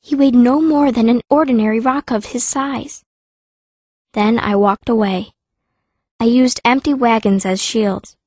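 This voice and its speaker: A woman's voice: a little high, a little slow, mellow, dry recording. A woman playing a fierce, earnest young girl mage who narrates her own story in an audiobook. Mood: tense, sad. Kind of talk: scripted first-person audiobook narration.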